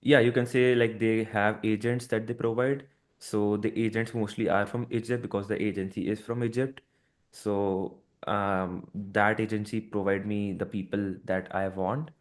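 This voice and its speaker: A man with a very deep voice on a casual business call, offering advice to a fellow agency owner. He sounds encouraging and leans on "like" as a filler while he thinks. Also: level tone, normal speed.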